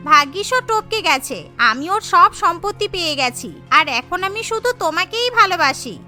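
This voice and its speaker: A woman's voice: very high voice, lively intonation, moderate pace, sharp tone, soft background music, exaggerated voice. A woman voicing a doting, bossy rich widow of fifty in a cartoon, gushing over her boyfriend. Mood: miffed, doting. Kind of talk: cartoon voice acting.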